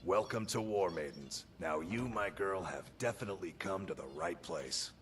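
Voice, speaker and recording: A man's voice: sits low, moderate pace, a bit bright, a little hiss or hum. An actor playing a hearty, welcoming male weapon-shop keeper in a fantasy video game.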